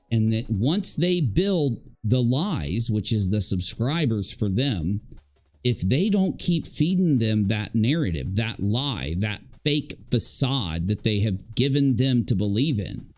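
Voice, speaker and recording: A man's voice: fairly low pitch, very animated, measured pace, a little mellow, soft background music. A man hosting a livestream, ranting casually in an emphatic, repetitive and accusatory way. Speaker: livestream host and video creator. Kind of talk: casual rambling livestream rant.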